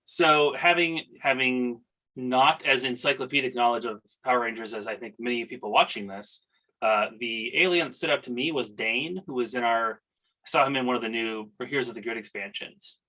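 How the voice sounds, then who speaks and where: A man's voice: medium pitch, normal speed, pushed and bright, rough recording. A man playing a tabletop game, giving brief, easygoing replies while he builds his character. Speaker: player creating a character. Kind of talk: casual chat.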